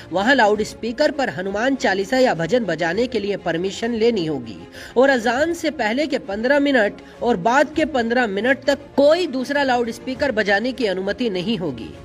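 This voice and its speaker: A woman's voice: on the high side, expressive, normal speed, few pauses. A woman reading a scripted news voice-over as a narrator. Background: faint music.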